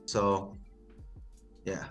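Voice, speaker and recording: Halting non-native man, faint music behind. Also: a bit bright. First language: not English. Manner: halting, with many restarts and fillers. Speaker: interview guest, token project owner. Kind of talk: casual livestream interview.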